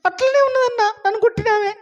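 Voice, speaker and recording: Man doing a very high, whiny little girl puppet. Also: fast, dull audio. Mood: whiny complaining. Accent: Telangana. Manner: whiny, plaintive, childlike. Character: whiny, pious little girl puppet, tattling on her sister. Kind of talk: children's church puppet show.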